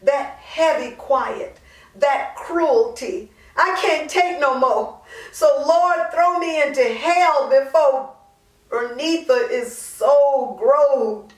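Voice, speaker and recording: A woman performing a dramatic monologue as an anguished, guilt-ridden mother pleading to God, impassioned and drawn-out. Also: sits high. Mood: anguished confession. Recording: roomy echo, distant microphone.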